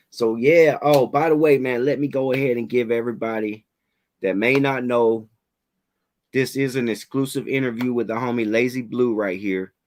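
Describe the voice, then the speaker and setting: A man hosting a casual livestream interview, talking in relaxed slang, a little raspy from a head cold. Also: mid-range voice, moderate pace. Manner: laid-back slangy hype, fillers like "you know what I'm saying".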